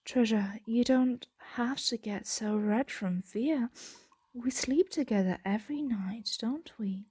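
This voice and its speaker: Woman voicing a slow, breathy, possessive dragon. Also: fairly high pitch, mellow, dry recording. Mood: tender, puzzled. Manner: slow, deliberate, often dropping into whispers. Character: possessive, doting female dragon hoarding a human captive. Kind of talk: intimate ASMR roleplay.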